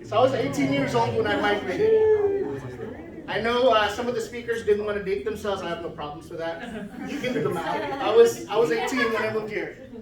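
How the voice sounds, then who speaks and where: A man's voice: extremely high pitch, animated, moderate pace, crowd noise. A middle-aged man from the Philippines giving an informal talk to a student club, often checking in with "right?". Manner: conversational, often asks "right?". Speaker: guest speaker. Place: event room. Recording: echoey room, mic from far off.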